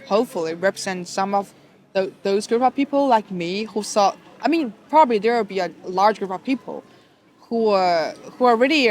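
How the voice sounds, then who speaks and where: A speaker from Shanghai with a Chinese accent, mid-pitched, in a casual podcast chat. English is not their first language, so they hesitate, restart and fill gaps with "like" and "uh" while searching for words. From Shanghai, China. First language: Chinese (Shanghainese, Mandarin). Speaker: podcast co-host.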